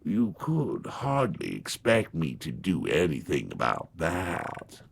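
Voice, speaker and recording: A man plays an otherworldly scissors grinder from a storybook, testy and businesslike. He speaks very slowly and officiously, drawing words out with broad swings in pitch. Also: wildly swooping pitch, vocal fry, exaggerated voice. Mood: apologetic. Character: testy supernatural scissors grinder, male, businesslike death figure. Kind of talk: dramatized storybook reading.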